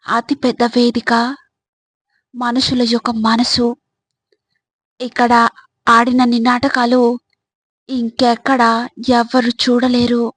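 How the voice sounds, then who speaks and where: A woman delivering scripted motivational advice in a measured, instructive way, speaking directly to the listener. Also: a little high, breathy edge, no room sound.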